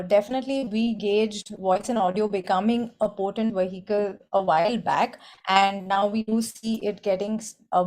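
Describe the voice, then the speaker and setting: A woman speaking as an industry panelist in a webinar, articulate, with bursts of quicker delivery. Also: mid-range voice, darkish tone.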